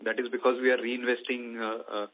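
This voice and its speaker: A man with an Indian accent explaining business results as an executive on an earnings call, in a halting, hesitant manner. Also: mid-range voice, level tone. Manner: hesitant, halting, with frequent "you know" and "let's say". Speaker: company executive answering analysts' questions. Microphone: telephone conference call. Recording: tinny narrow phone line.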